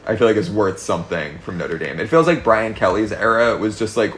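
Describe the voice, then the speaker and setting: A man's voice: on the low side, fairly quick, a bit bright, slight background noise. A man co-hosting a sports podcast, talking casually through his opinions.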